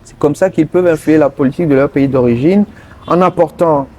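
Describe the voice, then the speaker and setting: A man from Togo, answering interview questions earnestly and pausing often mid-sentence to find his words. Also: fairly high pitch, warm tone, a little hiss or hum. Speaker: interview guest, politician.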